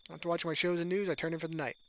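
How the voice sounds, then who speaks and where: Fast-talking man with a slightly high voice. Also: level tone, a bit bright, lo-fi sound. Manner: joking, offhand asides. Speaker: let's player reading a visual novel aloud. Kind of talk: gaming commentary mixed with reading narration aloud. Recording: music under the voice. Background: game soundtrack music.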